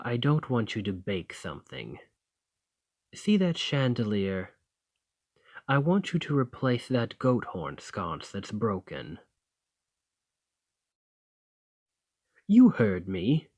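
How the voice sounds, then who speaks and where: A man's voice: medium pitch, dry recording. A man playing a dominant, haughty fantasy thane in an ASMR roleplay, commanding and formal with a condescending, teasing edge. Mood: demanding, curt. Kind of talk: ASMR roleplay acting, slow and intimate.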